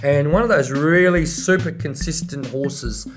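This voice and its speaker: Racing co-host, mid-pitched, chatty tipster, soft music under. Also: male voice, measured pace. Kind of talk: racing tips preview.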